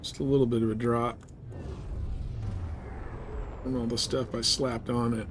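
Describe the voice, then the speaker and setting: A man's voice: medium pitch, flat delivery, measured pace, a little hiss or hum. A man giving casual live commentary on a game, chatting and thinking aloud. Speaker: game streamer.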